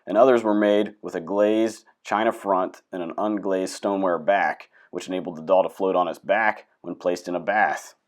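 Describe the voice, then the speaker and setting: A man hosting and telling a folk tale in an unhurried, dramatic storytelling manner. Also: low voice, steady tone, dry recording, studio quality. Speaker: host and storyteller.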